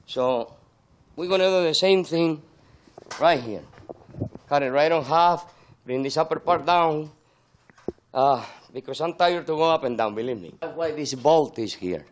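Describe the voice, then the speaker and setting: A man's voice: on the high side, measured pace, long pauses, a little hiss or hum. An older man from Spain with a Spanish accent, explaining his work as a sculptor in an interview. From Spain, born in Andalusia, grew up in Madrid.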